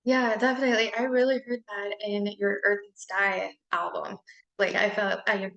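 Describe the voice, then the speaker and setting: A woman conducts a relaxed interview. She keeps her turns short and agreeable, adding only a few comments of her own. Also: mid-range voice, moderate pace. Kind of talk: casual interview chat.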